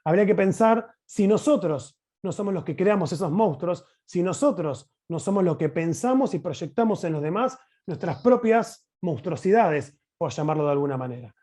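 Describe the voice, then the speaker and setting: A man with an Argentine accent giving an explanatory conference talk as a teacher over a video call, in an expressive, emphatic way with rhetorical questions. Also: sits high, moderate pace, hardly any pauses.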